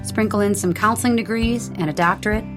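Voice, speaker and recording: A woman's voice: medium pitch, quick pace. Woman announcer over guitar music. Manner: polished announcer delivery. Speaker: show announcer. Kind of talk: scripted promo narration.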